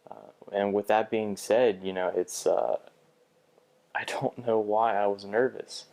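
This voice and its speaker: A man's voice: fairly low pitch, fairly even intonation, measured pace, vocal fry, a little mellow. A young man talking through a bad job interview in a personal vlog, hesitant, with frequent restarts and fillers. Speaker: vlogger.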